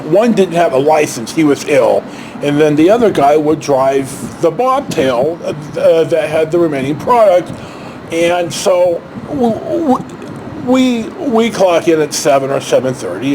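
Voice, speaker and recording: A man casually telling a personal anecdote as a vlog host, in a halting, rambling, blunt way with long hesitations. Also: a little high, noisy background.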